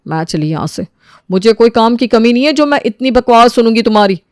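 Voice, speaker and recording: Woman acting a proud, clear-voiced feisty housemaid. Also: a little high, lively intonation, brisk, a little mellow, no room sound, clean studio sound, close to an everyday voice. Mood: defiant. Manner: defiant, argumentative. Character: feisty housemaid, proud, talks back to her employer.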